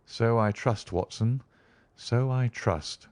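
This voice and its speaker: A man voicing a confident, wry gentleman detective in an audiobook, speaking in an articulate, measured way. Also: medium pitch, no echo, lightly acted. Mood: grave. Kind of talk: audiobook character reading.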